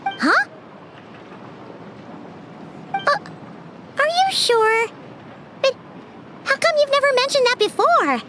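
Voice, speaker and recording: A woman's voice: very high-pitched, very animated, slow, pauses often, pushed and bright, slight background noise, exaggerated voice. A woman voicing a small, bubbly, food-loving girlish companion who talks about herself in the third person, in a game cutscene. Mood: chirpy. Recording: game audio with music behind.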